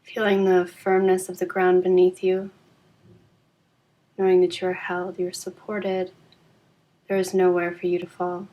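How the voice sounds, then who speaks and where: A woman leading a guided yoga nidra relaxation in a calm, soothing, unhurried way with long pauses. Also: lowish voice, poor audio.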